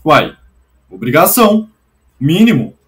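A man's voice: fairly high pitch, very animated, a little slow, a bit bright. A man hosting a video-call interview, putting in short agreeing remarks. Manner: brief backchannel remarks, agreeing. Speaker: host. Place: home room.

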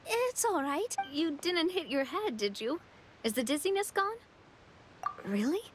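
A woman playing a gentle, caring young fairy in an anime video game, speaking in a sweet, solicitous way. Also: high-pitched, very animated, unhurried, a little hiss or hum. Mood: concerned.

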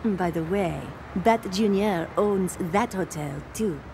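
A woman's voice: mid-range voice, slow pace, noisy background, close to an everyday voice. A woman voicing a cool, sly blonde handler of an assassin contest in a video game cutscene. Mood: cool, teasing. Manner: coolly detached, sly.

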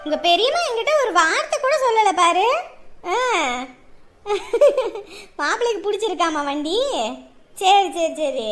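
A tender, gossipy aunt. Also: female voice, extremely high pitch, expressive, measured pace, long pauses, music in the background. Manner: soothing, then gossipy. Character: fond, gossipy aunt, tender and sharp-tongued. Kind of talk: TV serial drama.

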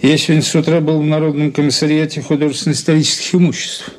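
An actor playing a deferential investigator's aide in a radio play, reporting in a factual, official way. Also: male voice, a little high, flat delivery, quick pace, natural voice. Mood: businesslike. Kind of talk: radio drama acting.

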